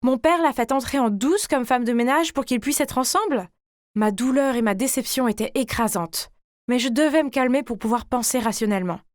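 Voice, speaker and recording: A woman narrates a scripted animated story in the first person. Her voice sits fairly high and she delivers it theatrically and expressively, as a teenage girl would tell her own drama. The sound is very dry, with no room around it. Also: fairly quick, dry recording.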